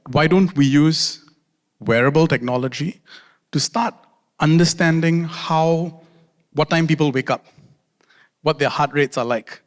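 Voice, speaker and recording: Self-deprecating male doctor in a reverberant hall. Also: fairly high pitch. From Singapore. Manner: friendly, self-deprecating, repeats key phrases slowly for emphasis. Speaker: conference speaker, doctor and consultant. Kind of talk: conference talk. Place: large conference hall.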